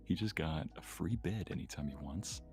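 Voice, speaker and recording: A man's voice: deep voice, normal speed, music in the background. A man on a live stream, chatting casually and telling everyday anecdotes. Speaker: streamer, guest.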